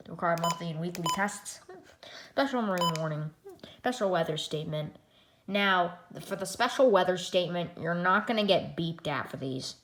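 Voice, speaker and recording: An echoey room recording with loud noise carries a woman's slightly low voice. She demonstrates a gadget casually as a reviewer. Her delivery rambles, moving through items one at a time. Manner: rambling, reading menu items aloud one by one. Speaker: product reviewer.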